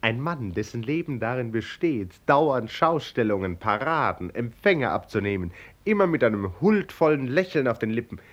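An actor playing a wry storyteller, narrating a radio play about royalty with ironic, mock-ceremonious relish. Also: male voice, medium pitch, sing-song, normal speed, muffled recording. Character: wry, mocking male storyteller of a royal tale.

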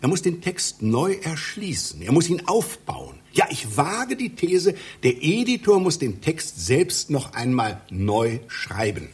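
Pompous middle-aged scholar, very husky, wildly swooping intonation, fussy pedant, satirical radio play. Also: male voice, fairly high pitch, big pitch swings, unhurried, hardly any pauses, exaggerated voice. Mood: grandly lecturing. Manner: pompous, fussy, lecturing, declamatory. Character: obsessive pedantic male scholar editing an obscure epic. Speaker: literary scholar with a doctorate.

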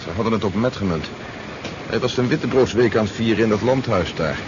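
Man acting a brisk, low-voiced army general. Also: natural voice. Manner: authoritative, clipped orders. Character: brisk commanding army general, wry with colleagues. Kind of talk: 1970s Dutch radio drama acting. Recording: muffled, noisy 1970s radio drama tape.

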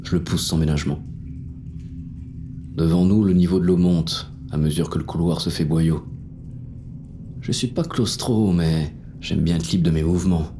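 A jaded cop narrating a noir audio drama, really deep mellow voice, slangy, wary, blunt. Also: male voice, a little hiss or hum. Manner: world-weary, slangy, blunt. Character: jaded, wary, hard-bitten male police inspector.

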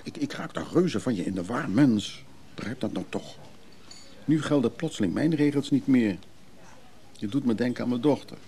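An actor playing a guarded, grumbling older man, measured and brusque, in a radio drama. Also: medium pitch, expressive, mellow, natural voice. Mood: troubled, agitated.

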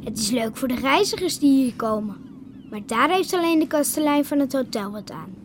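A woman's voice: high-pitched, animated, normal speed, background noise. A woman voicing an outspoken, opinionated young island girl in a radio play. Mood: firm. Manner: firm, outspoken. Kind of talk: radio drama acting.

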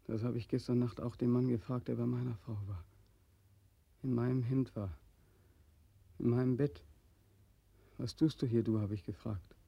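A man's voice: mid-range voice, fairly even intonation, moderate pace, creaky, pauses often, breathy edge, lo-fi sound. A man acting a haunted, despairing returned soldier in a 1940s German radio play, brooding and intense, swinging between whispers and outcries. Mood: bitter, numb.

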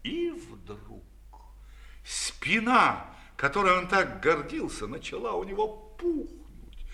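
A man narrating a children's fairy tale in an expressive, theatrical storyteller's manner. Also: high-pitched, takes it slowly, vocal fry. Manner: expressive, dramatic delivery.

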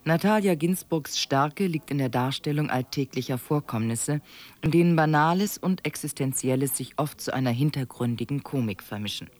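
A man's voice: fairly high pitch, animated, moderate pace, a little mellow. A man announcing a radio play in a scripted introduction, as a broadcast presenter would.

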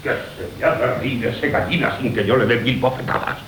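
A man's voice: medium pitch, moderate pace, fairly bright tone, close to an everyday voice. A man playing a touchy, blustering ruffian lover in a classical radio comedy. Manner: blustering, grandiose. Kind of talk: Spanish classical comic radio theatre acting. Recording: roomy, hissy 1980 radio broadcast.

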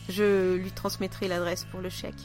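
A woman's voice: mid-range voice, flat delivery, unhurried, over music. A woman co-hosting a podcast in casual chat, informal and often searching for her words. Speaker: podcast co-host.

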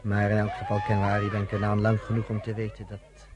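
An actor playing a measured, thoughtful man in a radio drama. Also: on the low side, completely flat delivery, a loud crowd, heavy background noise, close to an everyday voice.

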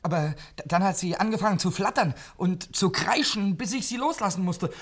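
A stammering, panicky thief. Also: male voice, sits high, animated, brisk, a bit bright. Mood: nervous confession. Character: nervous stammering male hotel thief confessing. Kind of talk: 1950s radio drama acting.

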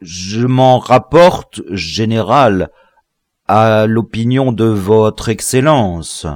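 A man's voice: fairly high pitch, lively intonation, takes it slowly, darkish tone, dry recording, studio quality, pitched above the performer's own voice. A man voicing a servile, frightened colonial prisoner in an audiobook, stammering humbly and pleading. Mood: timid, flattering. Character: cowardly, servile, trembling male colonial prisoner.

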